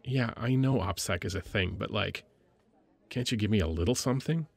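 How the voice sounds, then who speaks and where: A man playing a caring, playfully gruff military medic lieutenant in an intimate audio roleplay, with an informal, hesitant, teasing delivery. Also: on the low side, moderate pace. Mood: frustrated, teasing.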